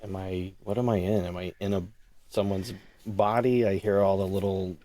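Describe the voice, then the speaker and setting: A man's voice: low-pitched, steady tone, moderate pace, a loud crowd. A middle-aged man speaking as a podcast guest, a creator talking casually about his writing in an interview. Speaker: podcast guest, audio drama creator and writer.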